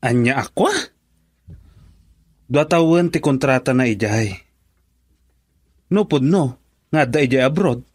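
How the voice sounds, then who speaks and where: A man acts out an insistent male buddy in a radio drama, with an Ilocano accent. He gives advice in a colloquial, pressing way, at a middling pitch, recorded close and dry. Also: animated, takes it slowly, long pauses, a little mellow, no room sound. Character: male friend urgently pushing his buddy to commit.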